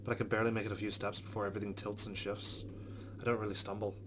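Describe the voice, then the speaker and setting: Deep-voiced casual let's play narrator. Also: male voice, little pitch movement, very fast, background noise. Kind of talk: reading game narration aloud with commentary.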